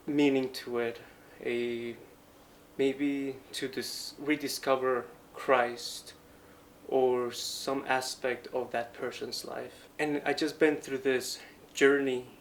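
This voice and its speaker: Young man, even-toned. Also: mid-range voice. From Colombia. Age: 20s. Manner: halting, speaks in short phrases. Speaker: Franciscan friar and painting student. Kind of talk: interview monologue, personal reflection. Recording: noticeable background noise, ambience.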